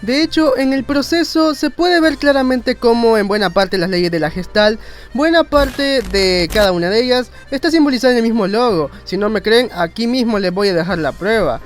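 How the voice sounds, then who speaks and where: High-pitched man explaining things. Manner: conversational, rambling, with self-mocking asides. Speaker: video narrator and graphic designer. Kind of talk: informal educational explainer narration. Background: faint music.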